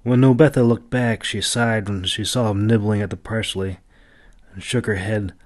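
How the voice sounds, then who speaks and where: A man's voice: on the low side, fairly even intonation, normal speed, no room sound. A man reading a fantasy audiobook as narrator, with dramatic storytelling delivery.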